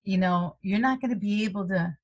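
A woman's voice: medium pitch, fairly quick. Woman, middle-aged, from the US, health coach guest, casual rambling talk, webcam call from a home room. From United States. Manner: rambling, lively, many "you know" fillers, frequent laughs. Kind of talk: casual video-call interview. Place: small room at home, indoors. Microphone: webcam on a video call.

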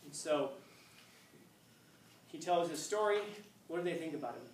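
A man in his fifties, a high school teacher lecturing a class, acting out scenes with playful voices. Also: expressive, normal speed, slight background noise. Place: classroom, indoors. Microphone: far camera. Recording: echoey classroom from far off.

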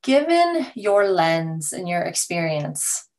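A woman hosting an interview podcast, conversational and halting as she searches for her words. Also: on the low side, sing-song, a little mellow, rough recording.

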